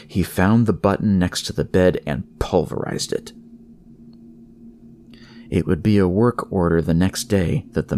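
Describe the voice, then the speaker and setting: Low male voice, horror story narration, unhurried, dramatic pauses. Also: gravelly, a little hiss or hum. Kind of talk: scripted horror fiction narration.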